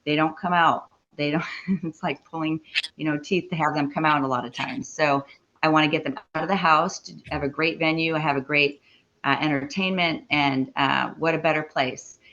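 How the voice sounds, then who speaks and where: A woman speaks in halting bursts, filling gaps with uh and um. Her voice is bright and sharp. The recording is a little muffled. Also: sits low, steady tone. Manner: halting, many fillers. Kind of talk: casual interview.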